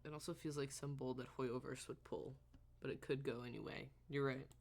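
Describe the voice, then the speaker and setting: A woman's voice: very deep voice, steady tone, a little fast, vocal fry, darkish tone. A woman giving casual live commentary as she streams a game, sweary and sardonic. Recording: faint game music behind.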